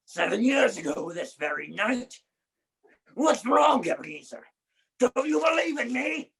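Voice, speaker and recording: Tormented male ghost, radio drama, ominous, hoarse, high-pitched, gravelly, dramatic proclaiming. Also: very animated, unhurried, a bit bright, exaggerated voice. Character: tormented male ghost of a dead business partner, ominous and wailing. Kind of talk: 1930s radio play acting.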